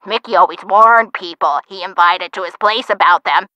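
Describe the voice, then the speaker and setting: A woman's voice: medium pitch, normal speed, sharp tone, no echo, natural voice, poor audio. An actress playing a feisty older woman, a gangster's chatty former sweetheart in a video game, with theatrical, folksy old-time slang. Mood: chatty, amused. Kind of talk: video game character dialogue.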